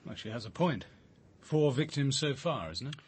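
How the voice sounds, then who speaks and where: An actor playing a reserved, attentive detective in a radio drama, speaking briefly and politely. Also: male voice, mid-range voice, lively intonation, normal speed, slightly rough.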